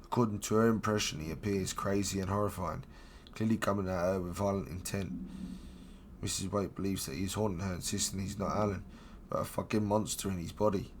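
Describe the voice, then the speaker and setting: A hoarse man who is losing his voice, streaming a game and reading its documents aloud in a flat, steady way. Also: low voice, fairly quick, slight background noise. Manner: reads in a flat steady voice, swears casually. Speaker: gameplay streamer. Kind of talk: casual let's-play commentary and reading game documents aloud.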